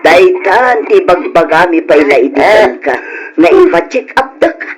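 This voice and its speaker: A woman's voice: on the low side, big pitch swings, unhurried, poor audio. A woman playing a fussing, scolding older wife and grandmother in a radio drama, exclaiming as she nags. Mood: alarmed, scolding. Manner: exclamatory, nagging. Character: fussing, scolding elderly wife and grandmother, anxious for her husband.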